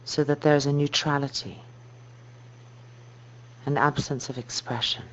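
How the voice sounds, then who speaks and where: Muffled, soft-spoken woman guiding meditation. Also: sits low. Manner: slow, soft, calming delivery with measured phrases. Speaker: yoga teacher. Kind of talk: guided meditation instruction. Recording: dull muffled recording with noise and hum.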